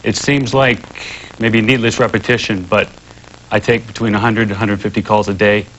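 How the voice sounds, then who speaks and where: A man's voice: lowish voice, fairly even intonation, moderate pace, a bit bright. A man who takes emergency calls, explaining procedure conversationally as an interview guest. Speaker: 911 ambulance call-taker, guest. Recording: dull, faintly noisy old tape.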